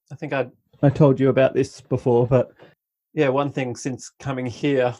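A man's voice: mid-range voice, darkish tone. A man chatting casually and enthusiastically, rambling a bit and laughing often. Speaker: conversation partner. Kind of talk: casual chat.

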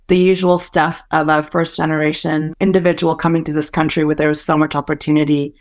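Woman in her forties, Hokkien first language, low-pitched, thoughtful, co-founder being interviewed. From born in Malaysia, raised in the US. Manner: thoughtful, searching, with small restarts. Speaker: company co-founder, physician scientist. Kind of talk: reflective interview answers.

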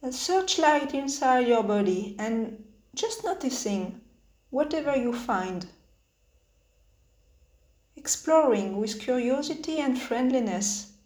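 Slow, high-voiced woman guiding meditation. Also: animated, darkish tone. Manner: hesitant, halting phrases with long pauses. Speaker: guided meditation instructor. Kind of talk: guided meditation, gentle spoken instructions. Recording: roomy, echoing sound.

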